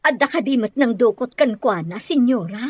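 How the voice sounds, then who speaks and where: A woman performs a troubled mother in an audio drama. She pleads respectfully in a high voice, with a close, dry sound. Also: lively intonation, moderate pace, no room sound. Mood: pleading, distressed. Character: distressed mother pleading to be let go.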